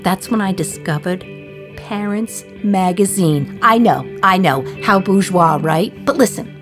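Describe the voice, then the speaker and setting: A woman playing an imprisoned communist young mother in a radio play monologue, wry, confiding and reflective, with dramatic pauses. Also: mid-range voice, expressive, music in the background, close to an everyday voice. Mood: wry, tender. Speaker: actor in a solo radio play.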